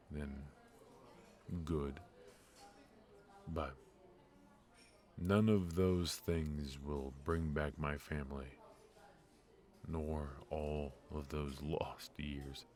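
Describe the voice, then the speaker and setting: A man playing a wry, tender mafia boss in an intimate ASMR roleplay, speaking in a halting, low-key way. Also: a little mellow, heavily acted. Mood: reflective, subdued. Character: brilliant ruthless male mafia boss, softened, wry and tender. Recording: music behind the voice.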